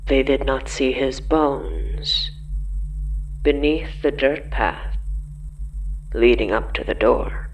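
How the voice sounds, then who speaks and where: Horror podcast narrator, extremely slow and deliberate, medium-pitched voice, ominous phrasing with pauses. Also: vocal fry, slight background noise, low-quality recording. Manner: ominous, deliberate, pausing between short phrases.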